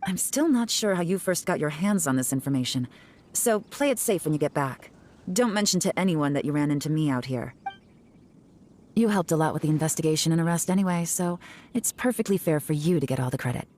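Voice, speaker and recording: A woman playing a cool, composed investigator in a video game, stern, measured and wry. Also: low-pitched, lively intonation, darkish tone, a little hiss or hum. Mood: relaxed, wry. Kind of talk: video game character acting.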